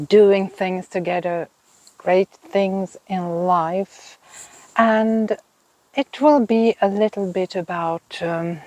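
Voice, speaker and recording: Slow-talking Finland-Swedish woman in her fifties. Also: fairly low pitch, darkish tone, no room sound, rough recording. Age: 50s. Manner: unhurried, thoughtful, pausing to search for words. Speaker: knitting podcast host. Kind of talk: casual chat to camera. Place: outdoors in a home garden.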